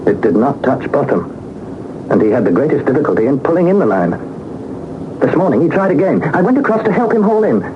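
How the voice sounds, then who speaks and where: An actor playing an anxious young British gentleman explorer, narrating his journal formally and deliberately in a 1960s radio serial. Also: on the high side. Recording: noisy, muffled 1960s radio broadcast.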